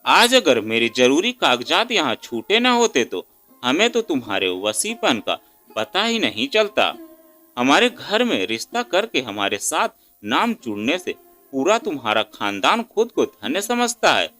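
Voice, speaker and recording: An indignant, protective brother from a family tale, acted by a male narrator, scolds and accuses. His voice is forceful and highly animated, over faint music. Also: exaggerated voice.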